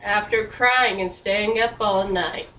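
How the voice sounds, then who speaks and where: A woman co-hosting a puppet review with excitable, sarcastic comedic banter. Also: medium pitch, takes it slowly. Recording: roomy, echoing home recording.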